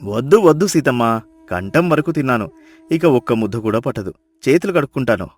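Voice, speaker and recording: A man's voice: medium pitch, very animated, fairly quick, a little mellow. A man playing a well-off, emotional village brother-in-law in a dubbed moral-story cartoon, with earnest, emphatic delivery. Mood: contented. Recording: music behind the voice.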